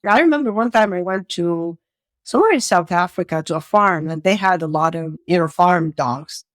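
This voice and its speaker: A woman's voice: fairly low pitch, moderate pace, no room sound, studio quality. A middle-aged woman from Saudi Arabia, a podcast guest talking in a casual, anecdotal way.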